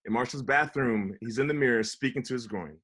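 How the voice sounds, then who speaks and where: A man's voice: medium pitch, brisk, fairly bright tone, slightly rough. A man with a mid-range voice acts as narrator of a table read. He reads screen directions and also chats casually with the group. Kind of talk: reading screen directions, then casual chat.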